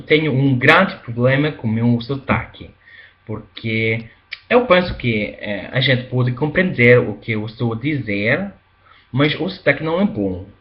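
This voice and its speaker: A young man speaks in a language he learned, with a non-native accent. He talks slowly, pausing on fillers and repeating words as he searches for them. His voice sits in a middle register and the recording is slightly dull. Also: mid-range voice. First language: not Italian, Portuguese or Thai. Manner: slow and hesitant, many fillers and restarts. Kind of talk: casual spoken language demonstration.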